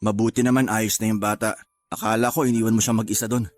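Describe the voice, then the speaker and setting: A man's voice: on the low side, flat delivery, quick pace, soft background music, close to an everyday voice. A man playing an evasive, defensive husband in a Filipino radio drama. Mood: casual, evasive.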